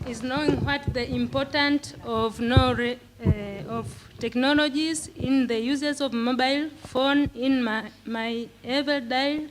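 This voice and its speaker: Slow-speaking Tanzanian woman, halting delivery. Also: sits high, slight background noise, rough recording. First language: Swahili. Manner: halting, repeating words as she searches for them. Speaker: pre-primary teacher and conference speaker. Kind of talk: prepared talk in simple English.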